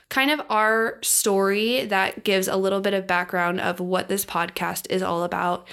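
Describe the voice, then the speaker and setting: A woman's voice: medium pitch, a bit bright. A young woman co-hosting a podcast in casual chat, upbeat as she lays out what the episode will cover. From Oregon. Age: young adult. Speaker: podcast co-host.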